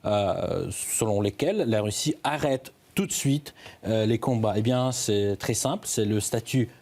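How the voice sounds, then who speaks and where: A man's voice: fairly low pitch, expressive, darkish tone. A man giving live news analysis as a correspondent, hesitant, often restarting his words. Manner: halting, hesitant delivery with repeated word starts.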